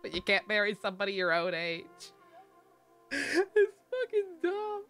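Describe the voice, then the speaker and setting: A woman's voice: mid-range voice, huge pitch swings, a little slow, a bit bright. A woman game streamer giving casual, sweary live commentary in a sarcastic, ranting manner. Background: game music.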